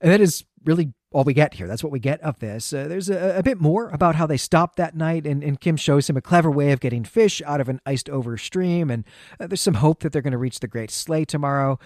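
A man's voice: on the high side, fairly quick, darkish tone, no echo, clean studio sound. A man co-hosting a podcast, giving a conversational literary recap in an enthusiastic, emphatic explaining way. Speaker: podcast co-host.

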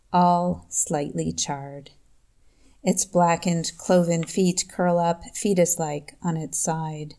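A woman from New York State reads her own poem aloud, slowly and deliberately. Her voice is lowish, dark and mellow, and her delivery stays fairly flat, with little rise and fall. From New York State, USA. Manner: slow, measured, deliberate recitation. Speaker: poet reading her own work. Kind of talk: poetry reading.

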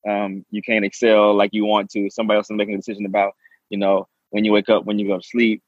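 A man's voice: lowish voice, completely flat delivery, fast, no echo, highs cut off. A man, a podcast guest telling his story eagerly. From Southeast Texas. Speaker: guest, real estate investor. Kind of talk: casual interview talk.

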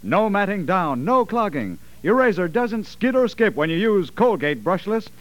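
A man's voice: high-pitched, sing-song, normal speed, dull audio. A man reading a 1940s radio advertisement as an announcer, in a polished, emphatic selling style. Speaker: commercial announcer.

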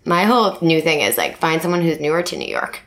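Woman, young adult, somewhat low pitch, rambling, casual podcast chat. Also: wildly swooping pitch, fast, gravelly, fairly bright tone. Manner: rambling, filler-heavy, self-mocking.

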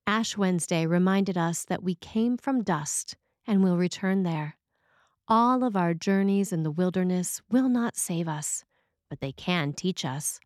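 A woman reading a scripted sermon as a preacher, in a measured, reflective way. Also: lowish voice, darkish tone, dry recording, clean studio sound.

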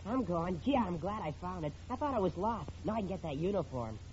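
A man's voice: high voice, lively intonation, a little fast, darkish tone. A male actor playing an eager, cheeky schoolboy son in an old radio situation comedy, using gee-whiz boyish slang. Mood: eager, boastful. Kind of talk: 1940s-50s radio situation comedy acting. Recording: dull old radio recording, faint noise.

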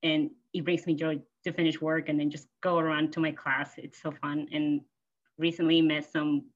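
A woman's voice: low-pitched, level tone, moderate pace. A woman in her thirties from Peru, a native Spanish speaker, telling personal stories casually and cheerfully as a podcast guest, on slightly lo-fi audio. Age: 30s.

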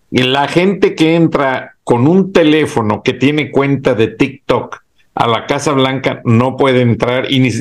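A man hosting a casual political chat, laying out news in an informative, explanatory way. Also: mid-range voice. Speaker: host.

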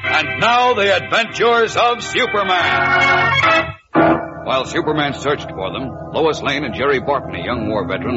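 A man narrates in dramatic 1940s radio fashion, theatrical and full of portent. His pitch is mid-range, with music clearly underneath. The old recording sounds muffled. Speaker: radio serial announcer and narrator.

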